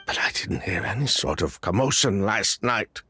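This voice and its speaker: A man's voice: on the low side, lively intonation, moderate pace, bright, forceful tone, slight background noise. A stammering, frightened nobleman. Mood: shaken. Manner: nervous, stammering, defensive. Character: frightened young nobleman hiding a secret. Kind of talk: game voice acting.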